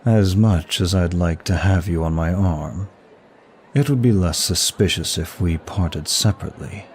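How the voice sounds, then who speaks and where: Casting: male actor for an ancient lust demon in ASMR roleplay, low register, unhurried, sultry and teasing. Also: a little mellow, a little hiss or hum. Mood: smooth, conspiratorial. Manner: slow, sultry, lingering delivery. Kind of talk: intimate ASMR roleplay acting addressed to listener.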